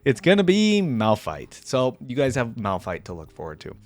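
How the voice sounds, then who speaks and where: A man's voice: mid-range voice, huge pitch swings, normal speed, no echo, faint music behind. Casual male reaction host, dry close sound. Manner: conversational, rambling, addresses viewers directly.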